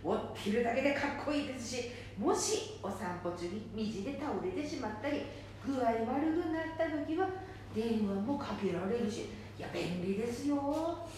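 A woman playing a polite, reassuring care manager in an amateur radio drama, courteous, soothing and gently persuasive. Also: fairly high pitch, lively intonation, moderate pace, natural voice. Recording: very echoey room, distant mic, faint noise.

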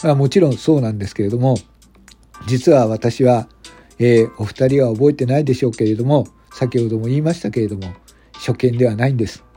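A man's voice: mid-range voice, a little fast, warm tone, slightly rough, lightly acted. A man voicing a young, friendly, unpretentious company president in an amateur radio drama. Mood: polite, businesslike. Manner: chatty, polite, unpretentious. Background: background music.

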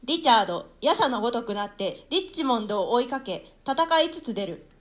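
A woman's voice: a little high, lively intonation, brisk. A woman reading stage directions as narrator of a classical play, in a dramatic scripted style.

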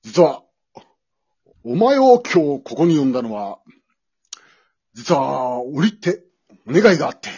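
An amateur radio-drama performer playing a passionate, pushy male high school teacher, melodramatic and swinging between hushed and loud delivery. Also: sing-song, unhurried, long pauses, slightly rough, muffled recording, heavily acted. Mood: awkward, hesitant.

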